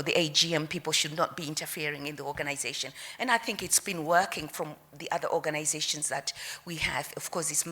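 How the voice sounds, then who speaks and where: A woman facilitating a conference workshop in a relaxed, affirming, conversational way, keeping the discussion moving. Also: sits low. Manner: affirming, conversational, moves the session along. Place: conference room.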